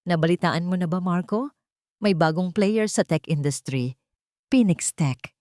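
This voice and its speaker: A confiding, urging friend with business gossip, acted out by a woman narrator. It is a drama story voice, low and dry. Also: a little slow, dark tone, no room sound, lightly acted. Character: man's well-informed friend passing on business gossip.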